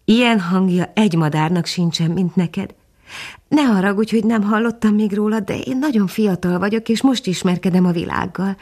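A woman's voice: mid-range voice, a little mellow, voice pushed up, lightly acted. A woman voicing an eager, naive young male house dog in a storybook, earnest and wide-eyed. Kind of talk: storybook character voice.

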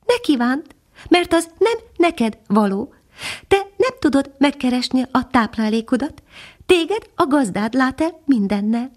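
A woman voicing a modest little female nightingale in a storybook, speaking gently and patiently. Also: sits high, very animated, pitched above the performer's own voice. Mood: admonishing. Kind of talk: storybook character voice.

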